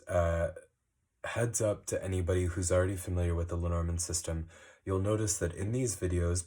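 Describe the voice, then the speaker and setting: A man with a cold, giving a slow, casual card reading with many ums. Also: sits low, warm tone. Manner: unhurried, many ums and uhs. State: recovering from a cold, sniffly. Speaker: tarot reader. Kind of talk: monthly astrology card reading.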